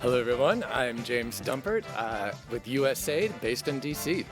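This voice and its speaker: A man's voice: mid-range voice, expressive, normal speed, music in the background. A man bantering casually as a contestant at a live event. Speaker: panel contestant.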